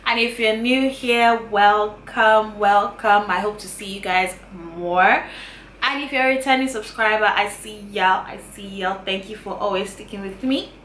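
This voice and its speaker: Woman, 20s, chatty YouTuber, bright tone, roomy echo, faint room hiss. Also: medium pitch, a little slow. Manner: chatty, repeats phrases, earnest and encouraging. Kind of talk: casual advice vlog to camera. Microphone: camera mic.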